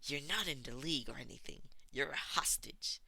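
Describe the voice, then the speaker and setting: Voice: mid-range voice, normal speed, gravelly, pushed and bright, breathy edge, exaggerated voice, poor audio. A narrator voicing an irritable, sullen male villain gang leader who snaps and speaks sarcastically. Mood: grudging, curt. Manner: snappish, sarcastic, swearing.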